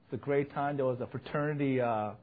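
A young man, a pastor, preaching with earnest emphasis and a loud voice, swelling to fervent peaks. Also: medium pitch, fast talker, phone-quality sound. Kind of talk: sermon with personal report.

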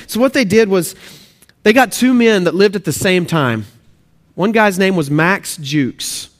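A young adult man with a Texan accent, preaching a sermon as a student pastor in church, earnest and dotted with "uh" fillers. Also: sits high. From Texas and Oklahoma. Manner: earnest, many "uh" fillers, rising emphasis on key points. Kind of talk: sermon with prayer. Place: church sanctuary.

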